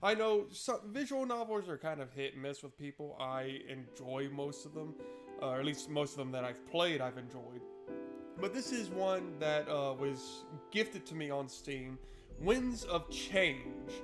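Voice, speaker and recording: A man's voice: fairly high pitch, expressive, measured pace. A man hosting a let's play, chatting informally and playfully and reading game text aloud. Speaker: gaming video host. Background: game music.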